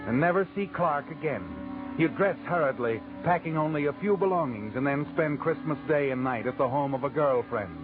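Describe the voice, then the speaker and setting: A man performs as a sardonic, all-knowing narrator with a rather high voice, needling and ominous. He tells the story in vintage radio suspense style. Clear music plays behind him on a narrow, phone-like recording. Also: fairly quick, natural voice. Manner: ominous, taunting second-person address.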